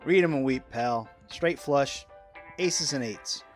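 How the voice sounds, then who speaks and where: An actor playing an excitable, wisecracking man on a yacht in a radio drama, wry and colloquial. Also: mid-range voice, slow pace, faint music behind, close to an everyday voice. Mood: teasing. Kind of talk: audio drama acting.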